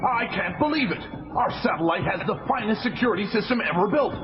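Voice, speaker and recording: Excitable high-voiced superhero. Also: male voice, fast. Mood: astonished. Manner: emphatic, eager. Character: excitable, earnest male speedster superhero. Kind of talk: superhero audio storybook acting. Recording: dull old audiobook with music.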